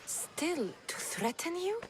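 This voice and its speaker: A woman's voice: very high voice, sing-song, slow pace, slight background noise, heavily acted. A woman playing a determined, businesslike mercenary heroine in a video game, firm and direct. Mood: purposeful. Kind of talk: video game character acting.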